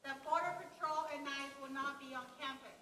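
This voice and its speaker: A woman's voice: high voice, steady tone, brisk. A woman protester in the audience, demanding answers at a town hall. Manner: demanding, chanting. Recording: large hall with loud crowd.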